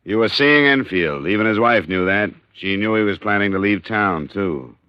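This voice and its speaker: A man acting as a hard-boiled American investigator from vintage radio drama. His voice sits very deep, and he talks in measured, probing lines. The recording is dull and close, with little room around it. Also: animated, no room sound, natural voice. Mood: pressing. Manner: terse, measured questioning and dry narration.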